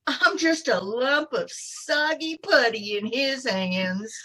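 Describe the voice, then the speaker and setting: A woman's voice: fairly high pitch, animated, slow, bright, forceful tone, full character voice. A woman playing a fluttery, lovestruck, scatterbrained older landlady in an old time radio comedy, swooning and dithering. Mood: sweetly resigned. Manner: dithering, swooning, breathlessly flustered. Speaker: amateur radio troupe actor.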